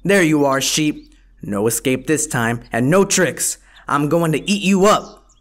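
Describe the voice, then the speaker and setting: A man's voice: on the high side, unhurried, close to an everyday voice. A man voicing a hungry, vain and gullible wolf villain in a children's puppet show, blustering and theatrical. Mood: menacing.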